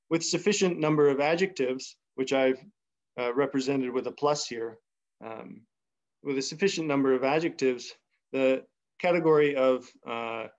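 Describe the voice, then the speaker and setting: A young man giving an academic seminar talk over a video call, unhurried and thinking aloud with many pauses. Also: mid-range voice. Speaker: seminar speaker. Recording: slightly muffled video call audio.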